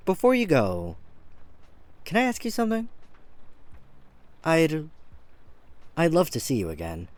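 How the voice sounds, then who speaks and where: A man's voice: a little high, no echo. Man acting a flirty catboy hairdresser, swooping intonation. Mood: nervous, flirty. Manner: gentle, bashful, earnest, chuckling at himself. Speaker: voice actor. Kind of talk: flirty ASMR-style roleplay audio drama. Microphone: close studio mic.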